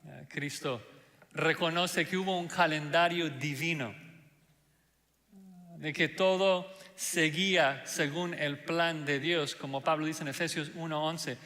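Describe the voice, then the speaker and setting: A man preaching a sermon as pastor, speaking deliberately with frequent "ah" fillers; Spanish is not his first language. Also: on the high side, fairly even intonation, pushed and bright. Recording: long hall reverb.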